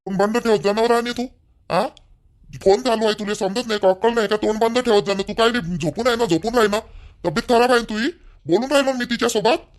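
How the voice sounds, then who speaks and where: A man's voice: fairly high pitch, quick pace, lightly acted. A man voicing an exasperated husband and brother in a family cartoon, argumentative and insistent, with a Varhadi Marathi accent. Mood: angry. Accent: Varhadi Marathi (Vidarbha). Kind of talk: animated family drama acting.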